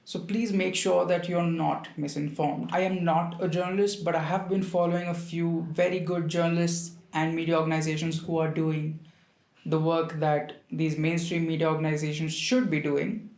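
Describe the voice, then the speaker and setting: Indian-accented man, fairly high voice, earnest informal video commentator, recorded in an echoey room. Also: flat delivery, normal speed, creaky, a little mellow. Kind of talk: opinion monologue to viewers. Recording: roomy, echoing sound.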